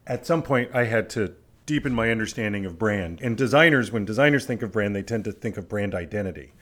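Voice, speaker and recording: A man's voice: lowish voice, animated, fairly quick, a bit bright. A man hosting an interview, asking analytical, carefully worded questions in a conversational way. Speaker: interviewer, podcast host.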